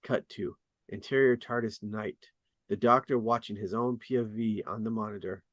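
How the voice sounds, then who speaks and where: Man, lowish voice, dry close sound, scripted narration, deliberate dramatic emphasis. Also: steady tone, a bit bright, no echo. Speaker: stage-directions reader. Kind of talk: scripted narration at a table read.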